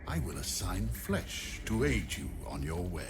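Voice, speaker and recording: Slow, deep, theatrical sinister necromancer. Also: male voice, big pitch swings, noisy background. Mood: cold, commanding. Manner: theatrical, pompous, drawling. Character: sinister, haughty male necromancer, vain about his grisly creations. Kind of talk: dramatic video game acting.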